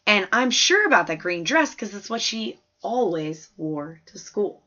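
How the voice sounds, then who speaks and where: A woman's voice: medium pitch, sing-song, a little slow, sharp tone, exaggerated voice. Casting: woman to play an observant, plain-talking fourth-grade girl narrating an audiobook, folksy and earnest, mid-range voice, slightly lo-fi sound. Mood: wondering, earnest. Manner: chatty, folksy, emphatic childlike storytelling. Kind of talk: scripted first-person story narration.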